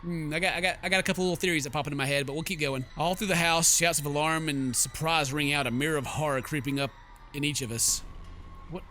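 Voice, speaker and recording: A man hosting a game playthrough, mixing jokey commentary with theatrical read-aloud narration in an animated manner. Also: on the high side, fast talker, a little hiss or hum. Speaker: gaming video host.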